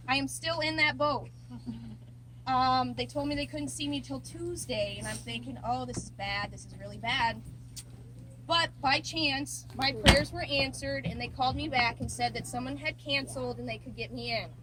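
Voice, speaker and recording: A woman's voice: high-pitched, sharp tone, a little hiss or hum, low-quality recording. A middle-aged woman, a wildlife rehabilitator, giving an earnest, plainspoken public talk with many ums.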